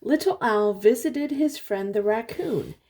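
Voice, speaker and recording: An older woman reading a picture book aloud to young children, pausing to explain things and ask simple questions. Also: a little high, slight background noise. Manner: expressive, simple explanations and questions for little listeners.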